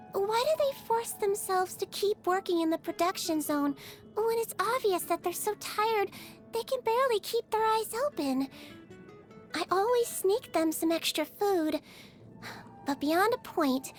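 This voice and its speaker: A woman voicing a small, sweet, childlike nurse in a video game, speaking in a gentle, chatty and earnest way. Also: very high-pitched. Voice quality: childlike, cutesy. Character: small sweet girlish nurse creature, caring and cheerfully earnest. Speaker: infirmary nurse. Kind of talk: video game character voice lines.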